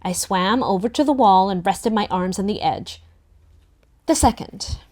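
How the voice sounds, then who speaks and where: A woman's voice: mid-range voice, expressive, unhurried, darkish tone, natural voice. Wistful teenage girl narrator, voiced by a woman. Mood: indignant, accusing. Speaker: table-read performer. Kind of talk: first-person audiobook narration with acted dialogue.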